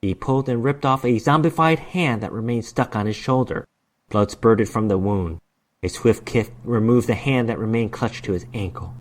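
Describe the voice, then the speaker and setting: A man's voice: fairly low pitch, normal speed. Man giving a dramatic story reading. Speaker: narrator reading a short story. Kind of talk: dramatic reading of horror fiction narration.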